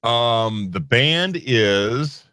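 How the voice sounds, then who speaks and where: Man, lively intonation, bright voice, self-deprecating, casual quiz banter, dry close sound. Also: mid-range voice, extremely slow, clear, resonant tone, no room sound. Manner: playful, animated, self-deprecating. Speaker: co-host guessing in a music quiz. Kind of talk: casual banter on a live chat show.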